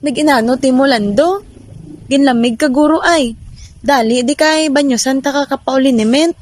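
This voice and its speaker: A woman's voice: high voice, moderate pace, lightly acted. A young woman playing a fretful, caring mother in an amateur radio drama. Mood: concerned. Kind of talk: amateur radio drama acting in Filipino regional language.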